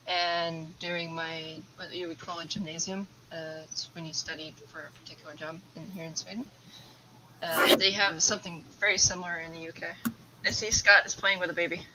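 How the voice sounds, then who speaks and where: A woman's voice: fairly low pitch, little pitch movement, moderate pace. Chatty woman on a noisy video call. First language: English. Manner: chatty, explains at length. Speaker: guest tarantula keeper. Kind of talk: casual live-stream chat.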